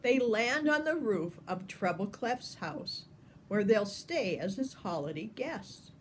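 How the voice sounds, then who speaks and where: Slow, very expressive grandmotherly woman storyteller. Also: medium pitch, a little hiss or hum. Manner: expressive, deliberate phrasing. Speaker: grandmother reading a picture book aloud. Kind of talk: children's storytelling with asides to young listeners.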